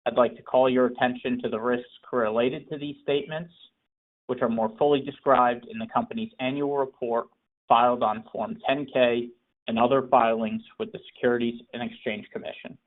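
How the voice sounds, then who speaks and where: A man's voice: medium pitch, fairly even intonation, normal speed. Formal man introducing a call by phone. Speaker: investor relations moderator. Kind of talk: scripted call introduction and legal disclaimer. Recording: narrow conference phone line.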